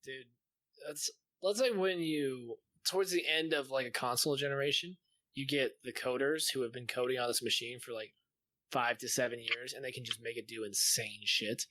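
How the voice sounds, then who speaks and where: A man's voice: mid-range voice, moderate pace, sharp tone, dry recording, low-quality recording. A man co-hosting a podcast, chatting casually in a slangy, exclamatory way with plenty of swearing. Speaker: podcast co-host. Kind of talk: casual chat, animated storytelling.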